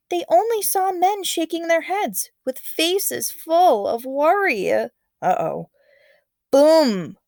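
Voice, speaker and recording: A woman's voice: fairly high pitch, dry recording, clean studio sound. A woman reading a story aloud as a teacher, expressively, with casual, encouraging comments along the way.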